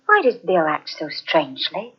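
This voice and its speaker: A gentle, breathy young wife. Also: female voice, low voice, wildly swooping pitch, moderate pace, full character voice. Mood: affectionate. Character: young wife and mother on a visit. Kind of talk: 1940s radio acting. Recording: dull old radio transcription.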